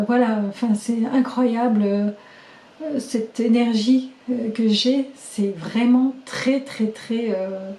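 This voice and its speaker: A middle-aged woman talks into the camera at home, keeping a casual video diary. Her voice is fairly high yet mellow, worn slightly hoarse, and she speaks haltingly, full of pauses and fillers. The echoey room rings around her. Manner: chatty and halting, many fillers and restarts.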